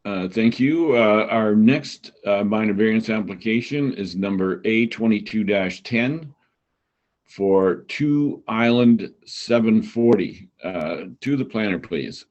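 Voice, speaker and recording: Man, low voice, committee chair reading a resolution at a measured pace, muffled online meeting audio. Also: animated, darkish tone. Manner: measured, reads resolutions aloud.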